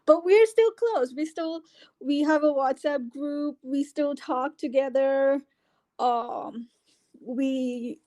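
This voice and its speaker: A woman's voice: high-pitched, warm tone. A middle-aged woman from India with an Indian English accent, telling her life story in an interview, with many fillers and restarts. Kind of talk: casual conversational interview.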